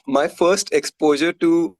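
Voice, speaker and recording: A man's voice: fairly high pitch, steady tone, measured pace, no room sound, studio quality. A man from India with an Indian accent, telling stories casually and candidly as a musician being interviewed.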